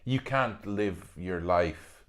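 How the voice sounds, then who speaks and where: A man's voice: sits low, slow pace. A man, an actor chatting as a podcast guest and telling stories with lots of fillers and restarts. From Spain. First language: Spanish. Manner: animated storyteller, many fillers and restarts. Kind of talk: casual podcast conversation.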